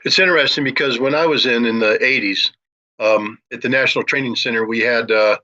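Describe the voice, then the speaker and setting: An older or middle-aged American man discussing geopolitics in a deliberate, explanatory way, as an interviewer with military experience. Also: medium pitch, sharp tone, highs cut off.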